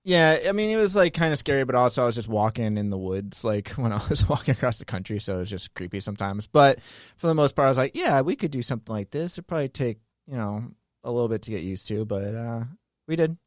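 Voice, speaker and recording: Man, podcast host, casual laughing chat, lively pitch, dry close sound. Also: quick pace, dry recording. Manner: laughs often, relaxed rambling.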